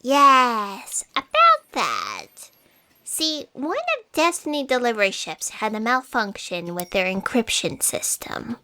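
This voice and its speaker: A woman playing an excitable, defensive office underling, theatrical and evasive, in a comic sci-fi audio drama. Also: fairly high pitch, slow pace, heavily acted.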